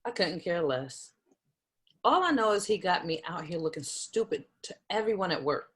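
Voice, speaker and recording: A fairly low-pitched female voice for a guarded, straight-talking professional played by a woman at a table read. She is casual and candid, the caring friend who says it plainly. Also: very animated, moderate pace, fairly bright tone. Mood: indignant. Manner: casual, frank, conversational.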